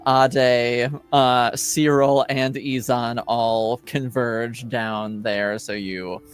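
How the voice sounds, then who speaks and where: A woman narrates as a tabletop game master with a smooth, clear voice. She improvises slowly and haltingly, pausing between phrases, with faint music behind her. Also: extremely low pitch, steady tone.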